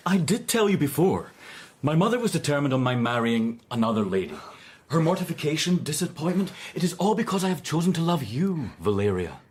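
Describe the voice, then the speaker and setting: An actor playing an evasive Victorian bridegroom in a radio drama, theatrical and dismissive, with brittle forced lightness and a British accent. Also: male voice, fairly high pitch, very animated, brisk, darkish tone. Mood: earnest.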